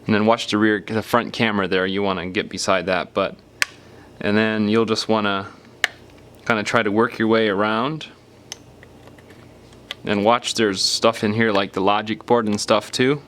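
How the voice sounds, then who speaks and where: A man's voice: on the low side, fairly even intonation, normal speed, a bit bright, slight background noise. A man narrating a hands-on how-to in an unscripted, casual step-by-step way, sometimes leaving phrases unfinished. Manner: casual step-by-step explaining, trailing off mid-phrase. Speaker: repair tutorial presenter.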